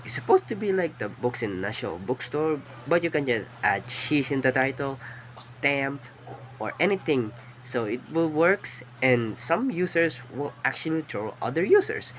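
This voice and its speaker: A hoarse young man with a Filipino accent, giving a class project presentation in a loose, rambling way with restarts. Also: mid-range voice, a bit bright, a little hiss or hum. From Philippines. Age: young adult. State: hoarse from illness. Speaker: student presenter.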